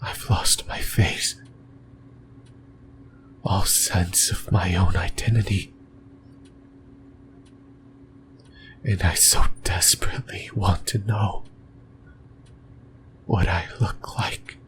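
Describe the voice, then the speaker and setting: A man voicing a lonely, possessive demon in a breathy, brooding whisper for an ASMR roleplay. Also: deep voice, steady tone. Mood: anguished, fearful. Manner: slow, halting, brooding delivery. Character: lonely, possessive sleep paralysis demon, lost and yearning.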